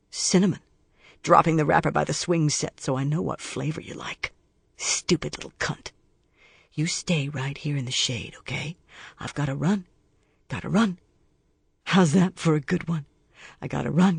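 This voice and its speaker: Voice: mid-range voice, gravelly, breathy edge, dry recording, full character voice. A narrator voicing a menacing male death-row killer who taunts in a crude, mocking singsong and sneering whispers. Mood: vicious.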